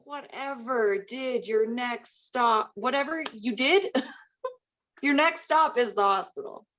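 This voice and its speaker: A woman's voice: fairly high pitch, takes it slowly, fairly bright tone, a little hiss or hum. A woman playing a firm, worried, bossy mother in a screenplay table read. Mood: alarmed, stern.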